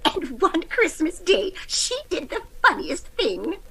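A woman playing a kindly, forgetful elderly landlady who rambles absent-mindedly in an old-time radio comedy, with a breathy, fluttery voice. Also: very high voice, very animated, slow pace, sharp tone, heavily acted. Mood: fond reminiscing.

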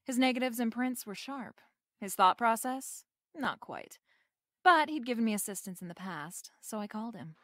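A woman's voice: fairly high pitch, lively intonation, normal speed, a bit bright. An actress playing a wisecracking, flirtatious female private eye with a snappy, wry manner in a radio detective drama. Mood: wry narrating. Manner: snappy, wry, hard-boiled. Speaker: lead actress. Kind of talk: remake of 1940s radio detective drama, with narration.

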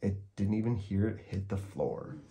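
Low, mellow male children's storyteller. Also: expressive, moderate pace, vocal fry, a little hiss or hum. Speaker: storyteller, host. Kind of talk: expressive children's story read-aloud.

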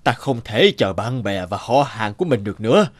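Hardworking farmer, very animated pitch. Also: male voice, fairly quick, no echo. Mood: resolute. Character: hardworking male farmer, practical and resolute. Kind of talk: animated folk tale dubbing. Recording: music behind the voice.

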